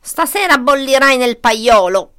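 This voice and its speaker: A wicked storybook fox, acted by a woman. The voice is raised and fairly high, gloating with greedy satisfaction. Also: animated, measured pace, a bit bright, smooth.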